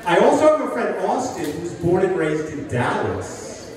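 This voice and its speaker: Older male stand-up, high sing-song delivery, recorded from far off in a reverberant hall with a crowd laughing. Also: sing-song, moderate pace. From New Jersey. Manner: sing-songy, talks as if everything's a question. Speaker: stand-up comedian. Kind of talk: stand-up comedy set. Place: live venue with an audience. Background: audience laughter.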